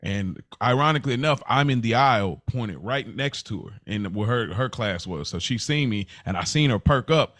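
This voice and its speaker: A man's voice: mid-range voice, lively intonation, normal speed, few pauses, a bit bright, no echo, clean studio sound. A middle-aged American man talking casually and earnestly as a podcast co-host, often repeating words for emphasis. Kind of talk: casual conversational podcast chat.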